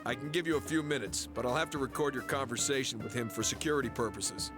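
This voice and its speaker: A brusque police guard, played by a man in a game dub. He is curt and by the book, at a middling pitch, with music clearly behind. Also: fast, close to an everyday voice. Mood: official. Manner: curt, official. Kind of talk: English video game dub acting.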